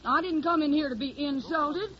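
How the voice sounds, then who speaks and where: A woman's voice: high voice, normal speed, voice pushed up, natural voice. A woman putting on a feisty Tennessee country girl drawl. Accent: Southern US, Tennessee. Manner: folksy drawl, feisty, plain-spoken. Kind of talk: old-time radio drama. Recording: dull lo-fi old radio recording.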